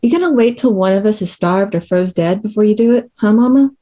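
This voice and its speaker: A woman's voice: medium pitch, brisk, dark tone, close to an everyday voice. A woman playing a scrappy, defiant rustic tomboy daughter at a screenplay table read, with blunt, folksy rural speech.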